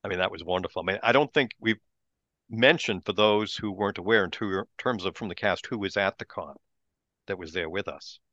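A man co-hosting a podcast, chatting casually in a relaxed, explanatory way, on a dull lo-fi mic. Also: lowish voice, brisk, no room sound. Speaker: podcast co-host.

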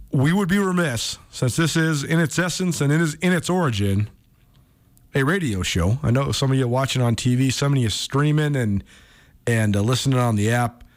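A man talks as a sports radio host at a middling pitch. He is emphatic and conversational, often halting and restarting, then leaning hard on key words.

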